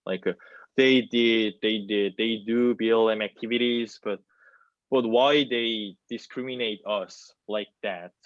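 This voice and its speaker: Halting young Korean-accented man, lowish voice. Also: fairly even intonation, dry recording. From South Korea. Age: 20s. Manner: halting, searching for words. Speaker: theater student. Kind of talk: panel discussion answer. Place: small plain room, indoors. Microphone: wireless earbuds on video call. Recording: muffled video-call audio.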